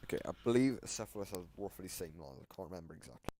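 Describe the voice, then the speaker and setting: Man, low pitch, creaky, casual host, offhand explanations, faint noise. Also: expressive, rapid-fire, warm tone. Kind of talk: casual reaction commentary.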